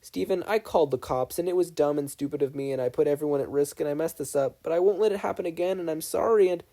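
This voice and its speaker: A man's voice: fairly high pitch, quick pace, creaky, mellow, voice pushed up. A man reading as an anxious, earnest, remorseful teenage boy who rambles, in a story. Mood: apologetic, flustered. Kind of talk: acted dialogue in story reading.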